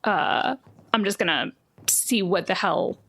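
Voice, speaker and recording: A woman voicing a casual, defensive but friendly teenage girl in a tabletop game, with halting informal talk. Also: medium pitch, creaky, a bit bright. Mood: surprised, flustered.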